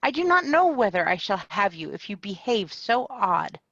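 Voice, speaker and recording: Casting: woman voicing a spoiled young miss, slow, swooping, on dull dry call audio. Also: mid-range voice, sing-song, a bit bright, no room sound. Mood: sulky. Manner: petulant and unhurried. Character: pert, greedy young woman, Mary. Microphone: video call.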